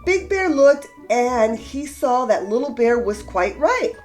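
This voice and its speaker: Slow, animated, high-voiced woman storyteller. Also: faint music behind. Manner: deliberate, storytelling emphasis. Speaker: children's storybook reader. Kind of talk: expressive read-aloud narration for young children.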